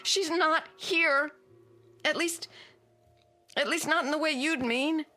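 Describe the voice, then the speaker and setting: An actress playing a polite, uneasy housemaid in an old television drama, deferential and fearful. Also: female voice, high-pitched, takes it slowly, pushed and bright, exaggerated voice. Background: faint music.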